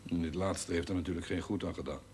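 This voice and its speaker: A grave, cautious doctor, played by an actor in a seventies TV drama. His voice is fairly low and his delivery measured and formal, with faint noise on the old soundtrack. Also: fairly even intonation, a little mellow, natural voice. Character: grave, cautious doctor giving a worrying prognosis. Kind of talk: 1970s Dutch television drama acting. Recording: slightly noisy old TV soundtrack.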